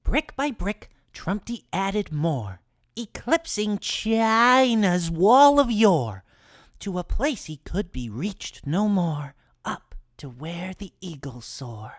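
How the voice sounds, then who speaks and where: A woman's voice: mid-range voice, big pitch swings, slow, no echo, clean studio sound. A woman narrating a rhyming storybook tale in a theatrical manner.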